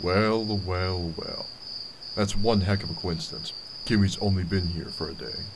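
A man's voice: sits low, steady tone, normal speed. A man playing a stern, skeptical government agent in a radio drama. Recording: noisy, with cricket-like background.

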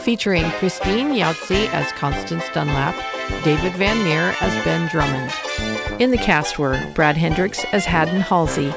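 A woman's voice: lowish voice, measured pace, gravelly. A woman announcer reading scripted radio drama credits. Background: music.

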